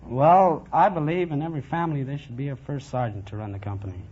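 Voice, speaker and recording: A man's voice: medium pitch, fast talker, a little mellow, husky. An American man in his twenties, a quiz contestant giving brief, matter-of-fact answers on an old radio show. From California, United States. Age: 20s. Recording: dull vintage radio, faint hiss.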